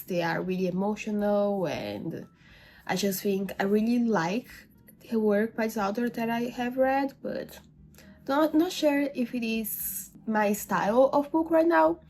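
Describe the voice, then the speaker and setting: A woman's voice: medium pitch, a little mellow. A woman whose first language is not English, giving a casual, rambling book review with many fillers and self-corrections. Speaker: book vlogger.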